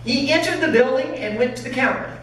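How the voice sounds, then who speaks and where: A woman, a pastor, reading a story aloud in an expressive, dramatic narrating style. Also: high voice, a little fast. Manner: dramatic reading, acting out dialogue. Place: church sanctuary. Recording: very echoey hall, distant, faint noise.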